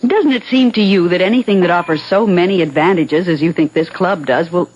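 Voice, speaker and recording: An actress playing an anxious, doubting wife in a 1970s radio drama, tentative and gently persistent. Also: female voice, lowish voice, a little fast, slight background noise, close to an everyday voice. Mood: doubtful. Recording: muffled 1970s radio broadcast recording.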